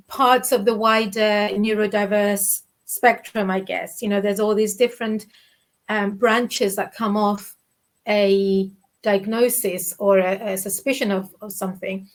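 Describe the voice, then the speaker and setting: A woman in her forties with a Cypriot Greek accent, speaking candidly as an interview guest over a video call from her living room, often restarting her sentences. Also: medium pitch. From Cyprus. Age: 40s. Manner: candid, reflective, many restarts and fillers. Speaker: guest, children's author. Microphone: video call webcam.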